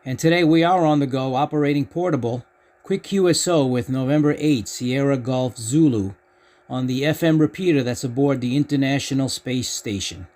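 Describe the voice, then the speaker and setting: A man's voice: medium pitch, normal speed, darkish tone. A man hosting a casual amateur radio demonstration, speaking as an operator who gives callouts in the phonetic alphabet. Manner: spells call signs in phonetic alphabet.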